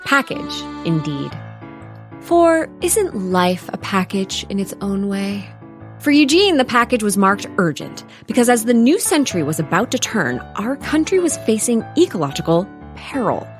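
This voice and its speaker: A woman's voice: mid-range voice, big pitch swings, moderate pace, faint music behind, lightly acted. A woman playing a whimsical, digressive narrator, telling a comic audio drama in a wry, theatrical way. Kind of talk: comic scripted storybook narration.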